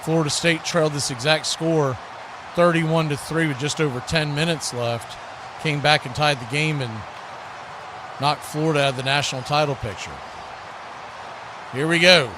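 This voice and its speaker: Male play-by-play announcer, higher-pitched, live football call over clear background noise. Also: fairly even intonation, moderate pace, pauses often. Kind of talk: live American football commentary.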